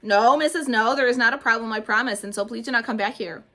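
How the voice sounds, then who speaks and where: A spunky, bossy little kindergarten girl yells in a shrill, very high voice that jumps around wildly. Her tone is bright and sharp. A woman reading a storybook aloud acts her out. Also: lively intonation, fast talker. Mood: upset.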